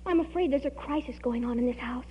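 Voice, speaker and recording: A woman's voice: high-pitched, quick pace, natural voice. An actress playing an earnest, anxious, movie-struck teenage girl in an old radio comedy, speaking with breathless melodrama. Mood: grave, secretive. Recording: muffled old radio recording, hum.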